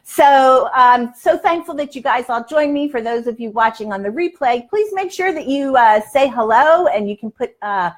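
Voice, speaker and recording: A woman's voice: sits high, lively intonation, moderate pace, few pauses. A woman in her sixties hosting a casual livestream from her kitchen, chatty and folksy, given to exclaiming. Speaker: live cooking show host. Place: home kitchen, indoors. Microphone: lapel mic.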